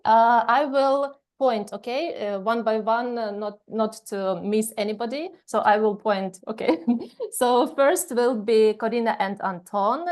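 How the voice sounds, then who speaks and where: A woman hosting an online orientation over a video call, talking casually with many pauses and fillers. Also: fairly high pitch, a little mellow.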